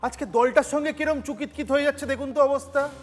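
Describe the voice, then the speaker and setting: A man's voice: very high voice, steady tone, fast talker. A man giving mocking political commentary on a television panel. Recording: reverberant sound with music bed.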